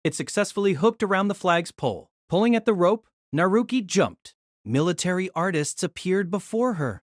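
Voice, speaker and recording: A woman's voice: fairly low pitch, expressive, normal speed, no room sound, clean studio sound. A woman narrating a novel in a scripted, dramatic storytelling style.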